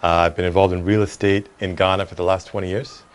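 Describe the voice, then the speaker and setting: Interviewee, male, middle-aged, American accent, lowish pitch, earnest and articulate executive. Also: flat delivery, fast. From Cleveland, United States. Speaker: real estate executive being interviewed. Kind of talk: conversational interview.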